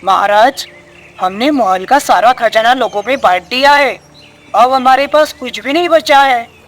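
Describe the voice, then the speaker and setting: A man's voice: very high-pitched, measured pace, a bit bright. A man playing a fretful royal minister in a moral story, worried and deferential.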